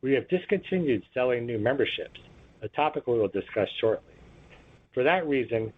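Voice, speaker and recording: A man's voice: mid-range voice, animated, slight background noise. A man reading a scripted financial results presentation as a company executive, in a formal, businesslike manner. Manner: formal, businesslike, measured recitation of figures. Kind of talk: scripted earnings call presentation, read aloud. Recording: tinny, muffled phone conference line.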